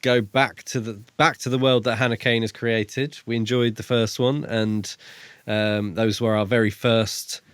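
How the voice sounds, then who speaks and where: A man's voice: medium pitch, little pitch movement, unhurried, fairly bright tone, clean tone, dry recording. A man, co-hosting a podcast in a casual book review chat. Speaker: podcast co-host.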